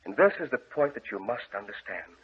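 An actor playing a grave, controlling gentleman in a 1940s radio horror drama, speaking with formal, solemn command and a husky voice. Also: lowish voice, sing-song, brisk, exaggerated voice. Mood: solemn, sombre. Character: grave, controlling gentleman guarding his deranged brother. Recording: tinny, band-limited old radio recording.

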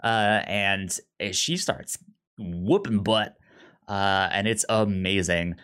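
A man co-hosting a podcast, discussing a film casually in a halting way, often stammering and repeating words. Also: lowish voice, fairly bright tone, clean tone. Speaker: podcast co-host.

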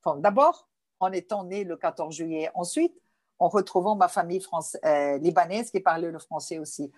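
A woman's voice: fairly low pitch, animated, moderate pace, darkish tone, no echo, clean studio sound. Woman. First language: Portuguese. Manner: searching for words, many restarts. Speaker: guest. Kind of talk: interview answers.

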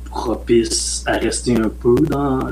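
A man in his late forties with a Québécois French accent, a film director talking in a relaxed, rambling way as a podcast guest. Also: medium pitch, takes it slowly, slight background noise, rough recording. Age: late 40s. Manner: relaxed, rambling, frequent "euh" and "mettons". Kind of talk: casual podcast interview.

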